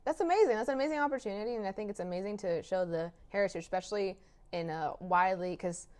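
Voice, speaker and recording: A woman's voice: medium pitch, fast, a little mellow. A woman hosting a casual interview podcast, encouraging and affirming as she talks with her guest.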